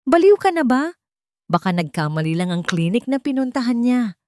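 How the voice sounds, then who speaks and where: A woman's voice: on the high side, very animated, moderate pace, a little mellow, no echo, pitched above the performer's own voice. A woman narrator playing a scheming, haughty female villain with a taunting, venomous manner in an audio drama. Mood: panicked, defiant. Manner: taunting, haughty, mixes in English.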